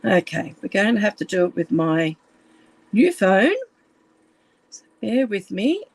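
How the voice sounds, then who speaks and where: A woman with a croaky, gravelly voice talks live to viewers from a room at home. She chats casually and keeps apologizing as she fiddles with her phone camera. Her pitch sits in a middle range for a woman. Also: big pitch swings, a little slow, dry recording, rough recording. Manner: chatty and apologetic while fixing her camera. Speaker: live stream craft demonstrator. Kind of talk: casual live stream chat.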